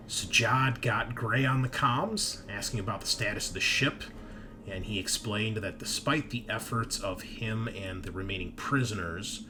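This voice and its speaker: Male game master, lowish pitch, informal session recap, dramatic emphasis, clear background music. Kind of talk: recapping a tabletop roleplaying session. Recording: music bed under the voice.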